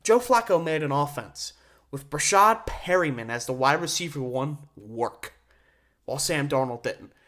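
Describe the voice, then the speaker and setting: Male sports podcast host: conversational solo commentary, opinionated delivery, leans hard on key words with deliberate pauses. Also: a little high, animated, a bit bright.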